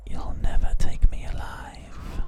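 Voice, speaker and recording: A man whispering slowly into a binaural microphone, musing through an ASMR gameplay monologue. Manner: soft unvoiced whisper, slow musing questions. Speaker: ASMR gaming host.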